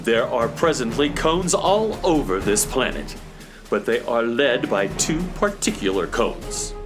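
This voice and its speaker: A man's voice: medium pitch, big pitch swings, unhurried. Guy playing a know-it-all space hero, pompous and formal, voice swooping around, music under him. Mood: knowing, grave. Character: male cosmic handyman, lofty, all-knowing master problem-solver. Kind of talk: children's space radio drama.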